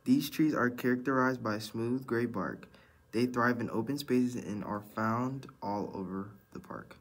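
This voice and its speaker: A man's voice: mid-range voice, a little slow. A teenage boy narrating a school project, reading his notes aloud. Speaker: student presenter.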